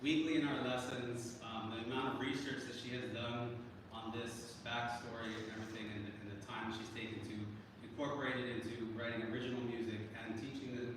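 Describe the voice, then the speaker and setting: A man giving an informal introductory speech as a faculty advisor, halting and searching for words. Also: medium pitch, steady tone. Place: large performance hall. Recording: very echoey hall, distant mic, clear background noise.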